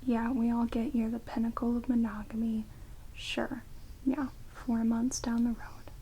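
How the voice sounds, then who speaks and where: Woman acting as a vampire caretaker, whispered, dark soft tone, unhurried, teasing, dry, ASMR roleplay, rain noise. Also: on the high side, rough recording. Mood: gossipy, bored. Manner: teasing, dry, casually chatty. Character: sardonic immortal female vampire, rude yet playful caretaker. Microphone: close binaural microphones. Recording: steady rain noise on binaural recording. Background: heavy rain.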